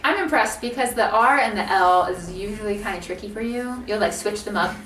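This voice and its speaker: A woman's voice: medium pitch, brisk, distant mic, noisy background. Chatty young American woman, roomy sound. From United States. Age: young adult. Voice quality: slight difficulty with R sounds. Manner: chatty, laughing, playful teasing. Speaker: channel co-host, student. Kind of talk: casual chat to camera.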